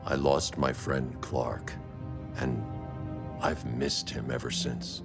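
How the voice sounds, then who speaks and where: An actor playing a grim, resolute masked vigilante leader in a video game, stern, terse and controlled. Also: male voice, rumbling low, flat delivery, slow pace, vocal fry, over loud music, heavily acted. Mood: somber regret. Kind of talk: cinematic video game acting.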